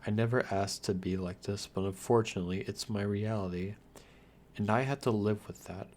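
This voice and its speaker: A man's voice: fairly low pitch, normal speed, a little mellow, natural voice. A man playing a lonely, guarded undead vampire, speaking straight to the listener in a wry, theatrical ASMR roleplay. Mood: bitter, sad.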